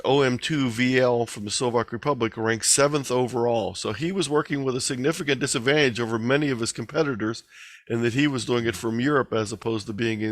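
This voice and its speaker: A man's voice: mid-range voice, a bit bright, dry recording. A man giving an informal online presentation, explaining and reading out figures in a conversational way. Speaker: presenter of an amateur radio talk. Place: online video meeting.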